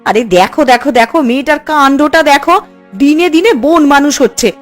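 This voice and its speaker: A woman's voice: high-pitched, moderate pace, soft background music, natural voice. A woman voicing a scolding, hard-working village mother in a cartoon. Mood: bossy. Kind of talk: Bengali cartoon voice acting.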